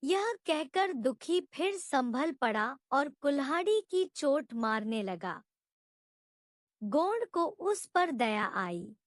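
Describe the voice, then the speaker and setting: A woman narrating a scripted story in a measured, expressive storytelling manner. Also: high voice, no room sound, studio quality.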